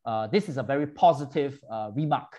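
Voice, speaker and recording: A man's voice: medium pitch, a little fast, mellow. A man hosting a virtual tour for seniors, chatting animatedly and switching between English and Mandarin. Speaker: host.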